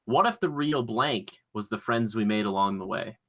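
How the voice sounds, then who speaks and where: A man's voice: fairly low pitch, lively intonation, normal speed, fairly bright tone. A man hosting a podcast in a casual, chatty, joking style. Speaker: podcast host.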